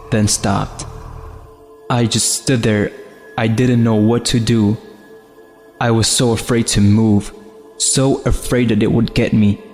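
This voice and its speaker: Even-pitched man narrating horror with long reverb. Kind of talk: scripted first-person horror story narration. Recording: long reverb, faint music behind. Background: faint music.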